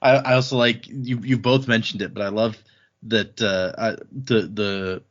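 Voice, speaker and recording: Low-voiced man chatting, slightly muffled. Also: steady tone, unhurried. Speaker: podcast co-host. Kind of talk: casual book discussion. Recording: slightly dull, band-limited sound.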